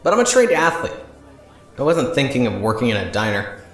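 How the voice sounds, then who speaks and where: A man playing a steady, moody young former sidekick hero in an audio drama. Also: medium pitch, fairly quick, echoey room, faint music behind. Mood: reluctant, guarded.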